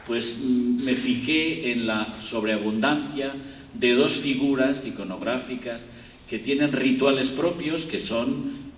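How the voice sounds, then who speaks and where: Spanish-accented man, low voice, rambling lecture, distant mic, roomy hall, faint room noise. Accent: Spanish (Spain). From Spain. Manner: rambling, digressive, many fillers and restarts. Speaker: guest lecturer. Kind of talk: university lecture.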